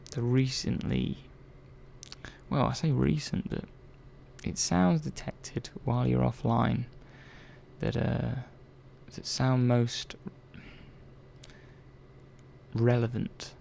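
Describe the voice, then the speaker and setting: Male technician, acted by a man, soft ASMR drama, heavy creak, mellow tone, halting and quietly protective. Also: mid-range voice, flat delivery, a little hiss or hum. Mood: musing. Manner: hesitant, halting, trailing off mid-sentence. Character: earnest, hesitant male robot repair technician, quietly protective. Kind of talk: soft-spoken ASMR roleplay.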